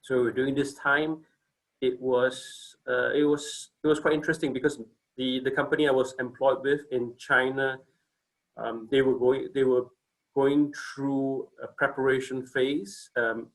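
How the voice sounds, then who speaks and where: A man's voice: medium pitch, little pitch movement, unhurried, poor audio. A man in his forties from Singapore, giving a casual company presentation on a video call, hesitant with many fillers and restarts.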